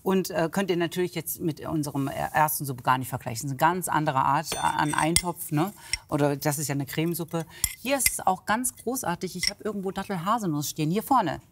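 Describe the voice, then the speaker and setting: Fast-talking woman chatting about food. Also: low-pitched, noisy background. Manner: chatty, affirming, rambling. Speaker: cook guest. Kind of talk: casual food chat.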